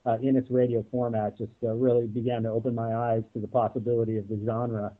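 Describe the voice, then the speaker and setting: A man speaking as a guest in a casual interview, reflecting haltingly as he gathers his thoughts. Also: medium pitch, flat delivery. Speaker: guest, audio drama producer. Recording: narrow-band phone-like line.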